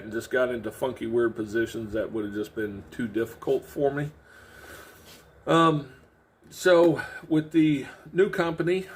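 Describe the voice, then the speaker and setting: A man hosting a casual video channel, explaining things to his viewers in a halting, informal way. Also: medium pitch, a little hiss or hum. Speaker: host of a CB radio and trucking video channel. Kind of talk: casual vlog update to viewers.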